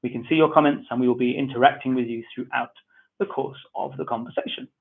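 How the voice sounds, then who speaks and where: A man from England with a Manchester accent, hosting an online webinar and calmly giving welcome and housekeeping instructions. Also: medium pitch, fast talker, vocal fry. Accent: Mancunian English. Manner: friendly, repetitive, relaxed instructions. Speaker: webinar host. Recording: tinny phone-like online audio.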